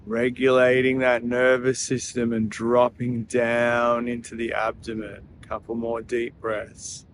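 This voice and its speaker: Slow-paced man guiding meditation. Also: medium pitch, monotone, a little hiss or hum. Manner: soothing, slow, gentle, with long pauses. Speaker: meditation guide. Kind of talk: guided breathing meditation.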